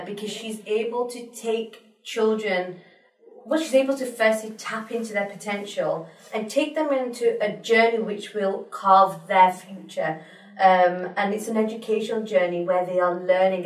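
Chatty young woman, roomy studio sound. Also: mid-range voice. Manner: fluent, chatty, peppered with you know. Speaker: guest, teacher. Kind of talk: studio interview. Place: small studio in front of a pink backdrop. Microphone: desk mic on a stand. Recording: roomy sound from a distant mic.

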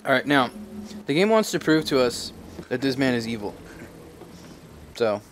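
A man's voice: medium pitch, sing-song, normal speed. A man casually analyzing a video game as he plays, on a livestream, chatty and digressive, thinking aloud. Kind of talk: casual commentary over gameplay. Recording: noisy game stream.

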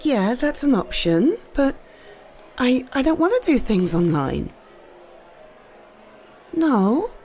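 A British woman playing an affectionate, slightly shy girlfriend in an intimate roleplay, speaking softly and hesitantly to her partner. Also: sits high, very animated, heavily acted. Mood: gentle, affectionate. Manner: soft, hesitant, tender, with halting repeats.

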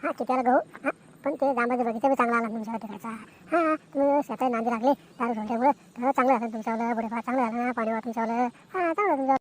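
A woman's voice: fairly high pitch, quick pace, lightly acted, poor audio. A woman voicing a chatty, boastful village man in an amateur cartoon comedy, emphatic and repetitive, with a rural Maharashtrian accent. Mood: chatty, animated. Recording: noticeable background noise.

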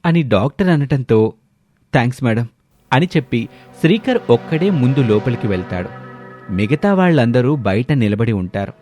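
Male narrator for a scripted story, medium pitch, background music. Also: big pitch swings, moderate pace, a little mellow. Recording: music bed under the voice.

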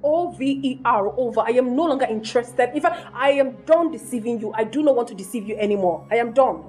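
A woman's voice: fairly high pitch, fast talker, darkish tone, faint music behind, lightly acted. A woman with a Nigerian accent playing a haughty, materialistic young girlfriend in a comedic melodrama, blunt, emphatic and scolding. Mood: firm, cold.

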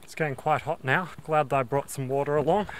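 A young man talking to a handheld camera on a bush hike, relaxed and enthusiastic about the scenery. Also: a little high, fast, slight background noise. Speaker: hiking vlogger. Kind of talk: casual vlog narration. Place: outdoors on a bush trail and beach. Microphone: handheld camera at arm's length.

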